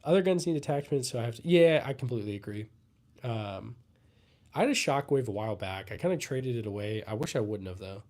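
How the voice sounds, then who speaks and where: A man's voice: mid-range voice, a little fast. A young man who is sick and losing his voice, casually chatting and answering viewers' questions on a livestream. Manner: relaxed, rambling, answers chat comments. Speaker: livestream host. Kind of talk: casual live Q&A chat.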